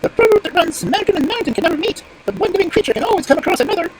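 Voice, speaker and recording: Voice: very high-pitched, expressive, very fast, background noise, voice pushed up. A reader voicing a fairy-tale stork, pleading earnestly and gratefully in formal, imploring words.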